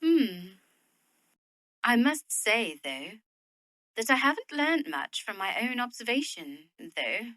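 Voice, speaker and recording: An actress playing a proud, practical young Victorian widow in a period audio drama, speaking in a worldly, opinionated way. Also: female voice, a little high, big pitch swings, slow pace, pauses often, pushed and bright, dry recording, full character voice. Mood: worldly, musing. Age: young adult.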